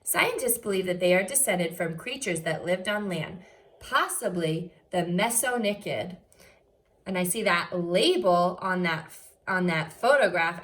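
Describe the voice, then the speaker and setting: A woman teacher reading aloud to young children, enunciating carefully and adding short explanatory asides. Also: mid-range voice. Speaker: first grade teacher.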